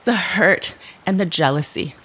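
An actress playing a composed, cutting, otherworldly woman in an audio drama, with measured and formal phrasing. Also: fairly low pitch, sharp tone, slightly rough, heavily acted, poor audio. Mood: cold taunting.

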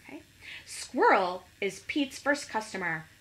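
A middle-aged woman reading a picture book expressively to young children, pausing to ask them questions. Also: medium pitch, very animated, a bit bright, poor audio. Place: small living room at home, indoors.

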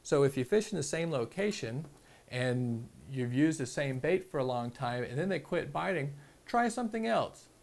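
A man, calmly explaining fishing tips step by step at a table indoors, with plenty of ums. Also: fairly high pitch, moderate pace, creaky. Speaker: fishing channel host. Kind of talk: casual instructional explanation to camera. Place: small indoor room at a table.

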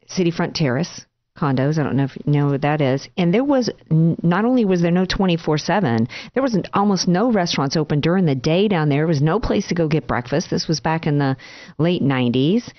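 A woman's voice: deep voice, animated, brisk, dull audio. A woman from New Orleans co-hosting a radio talk show, chatty and enthusiastic. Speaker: radio co-host. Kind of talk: casual radio chat.

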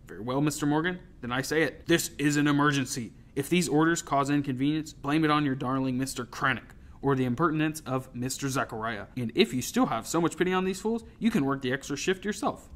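A man's voice: fairly high pitch, fairly quick, hardly any pauses, a bit bright, close to an everyday voice. A man voicing a tyrannical sea captain in an audiobook, with a sarcastic, barking, scornful manner. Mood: sarcastic, angry. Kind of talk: audiobook character acting.

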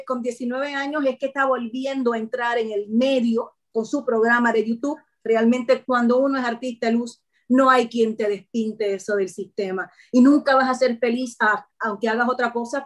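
A middle-aged woman, an actress chatting on a video call as an interview guest, expressive and laughing often. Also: on the high side. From Puerto Rico. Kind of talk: casual interview chat.

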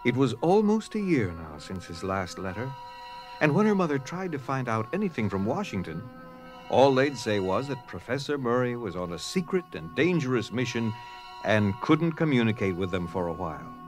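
A man's voice: medium pitch, big pitch swings, moderate pace, faint music behind. A man reading scripted narration for an audio drama, telling the story dramatically.

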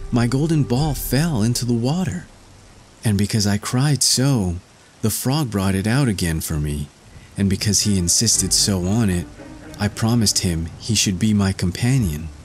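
A man's voice: fairly low pitch, voice pushed up. A man voicing a reluctant, upset young princess in a fairy tale, plaintive in manner.

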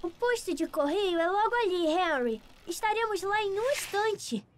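A woman with a Brazilian accent voicing an excitable young girl letter in a children's cartoon, small and sweet. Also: very high voice, normal speed. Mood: eager. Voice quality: small, girlish. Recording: music behind the voice.

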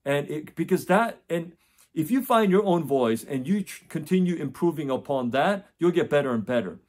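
A man's voice: a little high, expressive, moderate pace, darkish tone. A man in his forties from the United States, giving earnest advice straight to camera from a home office, often restarting phrases and checking in with "okay?". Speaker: test prep tutor. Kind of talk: direct-to-camera advice talk. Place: home office with framed certificates.

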